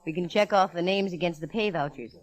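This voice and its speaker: Actress as female private detective, low voice, wry, self-assured, dry delivery, 1940s radio drama. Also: fairly quick, natural voice. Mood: cool, businesslike. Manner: dry, wisecracking. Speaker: lead actress and narrator.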